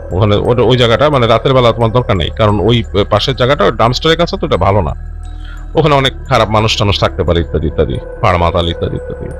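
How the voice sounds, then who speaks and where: A man hosting and telling a listener's ghost story in an unscripted, conversational way, halting and rephrasing as he goes and mixing in English words. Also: medium pitch, fairly bright tone. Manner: halting, self-correcting, repeats and rephrases phrases as he goes; mixes English words into his speech. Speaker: host narrating listener-submitted ghost stories.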